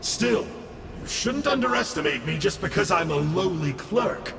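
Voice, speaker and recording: A high-voiced, echoing sly villain. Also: male voice, animated, normal speed, gravelly, background noise. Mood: smug. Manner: flippant, theatrical. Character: sly, glib, self-deprecating villainous male clerk. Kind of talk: video game voice acting. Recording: echoing long reverb, loud music.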